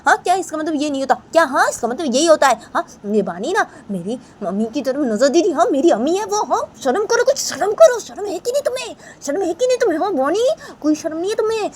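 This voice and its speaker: A woman doing a shrill furious young daughter-in-law. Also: sing-song, quick pace, few pauses, darkish tone, a little hiss or hum. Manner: shrill, screaming threats.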